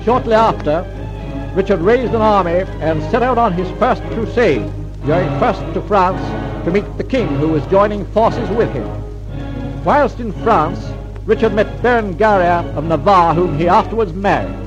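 A man's voice: high-pitched, expressive, normal speed, over music. A man narrating a scripted old-time radio drama in a formal storytelling manner. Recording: dull vintage radio recording.

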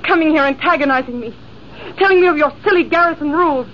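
A woman's voice: sits high, fast, close to an everyday voice. An actress playing a proud, fiery young woman who answers with haughty indignation, in 1950s radio drama style. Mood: indignant. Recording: dull, hissy old radio broadcast.

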